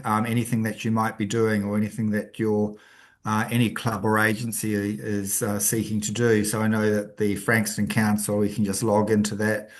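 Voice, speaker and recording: A man offering a helpful comment on a video call, conversational with many ums. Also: fairly low pitch. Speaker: meeting participant.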